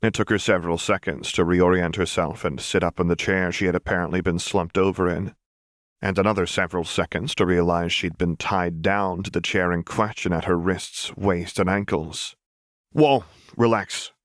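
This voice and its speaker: A man with a low-pitched voice narrates fiction in an audiobook style. The reading is scripted, and the sound is dry with no room to it. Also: level tone, moderate pace, no room sound.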